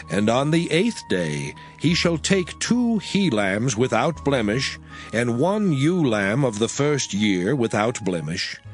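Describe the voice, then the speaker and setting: Solemn male scripture reader. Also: mid-range voice. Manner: measured, solemn recitation. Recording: faint background music.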